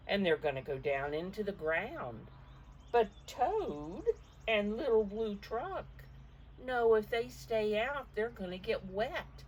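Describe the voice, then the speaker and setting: Older female preschool storyteller, slow and animated, simple questions and explanations, distant mic with faint noise. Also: medium pitch. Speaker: children's storybook reader and teacher. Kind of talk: picture-book read-aloud for preschoolers, talking to the children.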